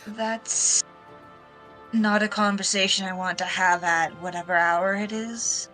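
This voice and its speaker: A woman's voice: medium pitch, steady tone, a little slow, bright, forceful tone, faint music behind. A woman role-playing in conversation as the captain of a ship in a tabletop game. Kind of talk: in-character tabletop role-play chat.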